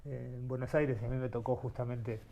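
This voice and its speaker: A man's voice: mid-range voice, little pitch movement, brisk, creaky, warm tone, dull audio, a little hiss or hum. A middle-aged man born in Uruguay, telling his life story as an interview guest, thoughtful and searching for words. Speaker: guest, artist.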